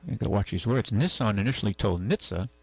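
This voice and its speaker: Male talk-radio host, deep and gravelly, loose halting delivery, close dry studio sound. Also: animated, a little mellow, no echo. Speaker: radio call-in show host. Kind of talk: casual talk radio, reading news and chatting. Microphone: close studio microphone.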